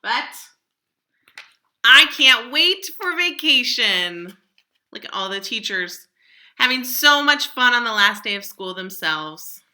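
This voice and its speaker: A woman reads a storybook aloud with a very bright, lively voice that moves widely in pitch. She is dramatic and draws out key words. The sound is roomy and echoing. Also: slow pace. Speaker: storybook reader. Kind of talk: children's picture book read-aloud.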